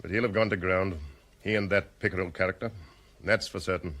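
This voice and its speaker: A man's voice: sits low, fairly even intonation, brisk, fairly bright tone. A man playing a stiff, sarcastic police superintendent in a vintage radio drama, curt and reproving.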